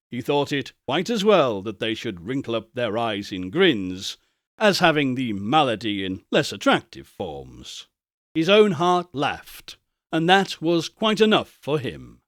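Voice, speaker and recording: A man narrating an audiobook in an expressive, theatrical storytelling style with deliberate emphasis. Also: a little high, no echo, studio quality.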